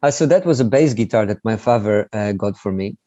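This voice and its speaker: Man, relaxed musician guest. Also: on the low side, fairly quick, no echo. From Poland. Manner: relaxed, self-deprecating, many fillers. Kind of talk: casual interview chat. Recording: dry, slightly dull sound.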